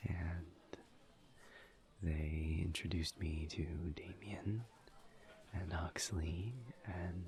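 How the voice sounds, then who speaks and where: A man playing a gentle, guarded incubus in an intimate audio roleplay, murmuring breathily and haltingly with careful pauses. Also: exaggerated voice. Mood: tender, earnest. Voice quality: breathy, creaky, low murmur. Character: gentle, guarded male incubus demon, earnest and reserved. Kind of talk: intimate roleplay audio drama, partly whispered.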